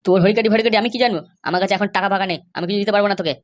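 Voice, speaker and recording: Woman voicing a fast-talking angry old grandfather. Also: mid-range voice, close to an everyday voice. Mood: threatening. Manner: scolding, threatening. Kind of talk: amateur comedy cartoon dub.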